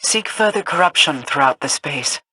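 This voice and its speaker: A commanding mystic briefing heroes in a dark fantasy video game, formal, cryptic, with an intense edge to the voice. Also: female voice, low voice, lively intonation, slight background noise, rough recording. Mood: grave. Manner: formal, grave, measured, imperious. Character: regal, cryptic, commanding sorceress-like woman ally.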